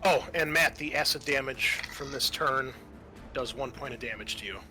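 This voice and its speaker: A man acting as game master for a tabletop role-playing game, explaining the action and rules in a halting way. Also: mid-range voice, music in the background.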